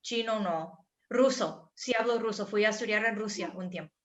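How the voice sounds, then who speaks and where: A woman's voice: mid-range voice, moderate pace, highs cut off. A woman in her thirties with an American accent, a guest musician answering children's questions in friendly, simple replies. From United States. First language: English. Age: 30s.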